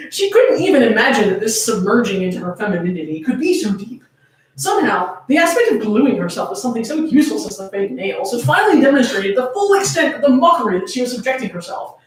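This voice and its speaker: A woman's voice: a little high, very animated, fast. A woman giving a dramatic, comedic reading of fan fiction, theatrical and prone to amusement. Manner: theatrical, often breaking into laughter. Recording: very echoey room, far from mic.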